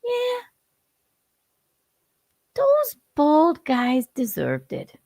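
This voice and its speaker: A gleeful, menacing hawk villain in his forties, put on by a woman, bragging without a trace of regret. The voice is gravelly and swoops up and down. It sounds close and dry. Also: high voice, huge pitch swings, drawn-out words, a little mellow, no echo, voice pushed up, heavily acted. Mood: unrepentant. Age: 40s. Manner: gleeful, unrepentant, menacing boasts. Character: violent male hawk who hates bald men.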